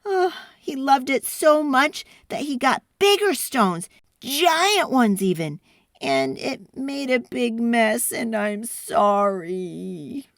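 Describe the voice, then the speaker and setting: A woman voicing an earnest, excitable child in a storybook, explaining things in an animated, dramatic way. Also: fairly high pitch, slow pace, no room sound, clean studio sound, exaggerated voice. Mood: sheepish explaining. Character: earnest, excitable child telling a dinosaur tale.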